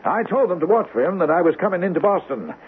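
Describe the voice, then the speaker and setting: An actor playing a courteous, reassuring doctor in a 1970s radio drama, with a polite, hesitant delivery. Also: male voice, high-pitched, sing-song, quick pace. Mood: courteous, concerned. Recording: noisy, tinny old radio broadcast recording.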